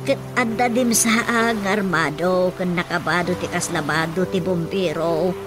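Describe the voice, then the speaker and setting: A woman's voice: on the low side, unhurried, close to an everyday voice. An actress playing a worried, talkative mother in an Ilocano radio drama. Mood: fretful. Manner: fretful, chattering. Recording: radio drama with music behind.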